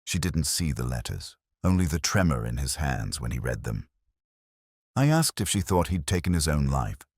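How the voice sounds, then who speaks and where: A man narrating a scripted first-person detective story in measured, literary phrasing. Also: a bass voice, very animated, a little mellow, husky, dry recording, clean studio sound.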